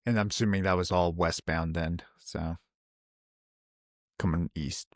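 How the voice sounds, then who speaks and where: A man's voice: low voice, fairly even intonation, a little slow, no room sound, studio quality. A man talking casually as a player during a tabletop game. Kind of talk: casual chat.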